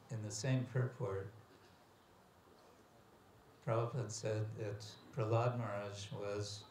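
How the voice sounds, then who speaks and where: A man giving a scriptural lecture as a religious teacher, reading aloud and commenting with deliberate, emphatic phrasing and pauses. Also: medium pitch, darkish tone. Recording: echoey room with background hiss and ambience.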